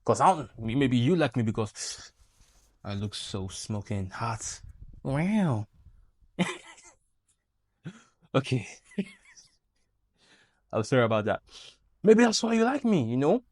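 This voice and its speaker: A man's voice: medium pitch, slow, long pauses, dry recording, studio quality. A young man with a Nigerian accent, giving a playful, joking tutorial straight to camera as a YouTube creator. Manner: animated, playful, jokey asides. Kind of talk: casual tutorial talk to camera.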